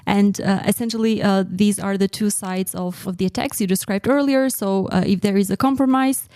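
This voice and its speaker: A woman explaining her research as a podcast guest, pausing hesitantly in mid-sentence as she goes. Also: medium pitch, steady tone, warm tone, clean tone, no echo, clean studio sound. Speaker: guest researcher in secure messaging.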